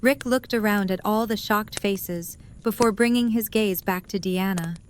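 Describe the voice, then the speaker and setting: Woman narrator reading a story, mid pitch, little bit of noise behind. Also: measured pace.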